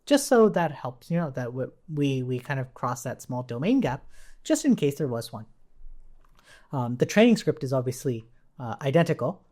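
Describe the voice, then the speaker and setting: A man's voice: medium pitch, very animated, normal speed, darkish tone. A man giving an informal coding tutorial as an instructor, thinking aloud conversationally and often checking in with the listener. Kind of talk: informal coding tutorial, explaining while demonstrating on screen.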